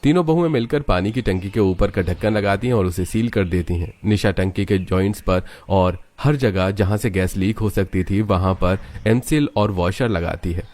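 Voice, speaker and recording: Low-voiced male cartoon story narrator. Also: normal speed, few pauses, darkish tone.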